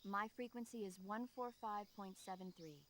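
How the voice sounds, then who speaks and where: Actress as wry, friendly game medic, mid-pitched, composed, faint insect noise behind. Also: female voice, moderate pace, fairly bright tone, natural voice. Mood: friendly, teasing. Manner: polite, teasing, composed. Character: friendly, wry female military medic on support radio. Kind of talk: video game voice acting.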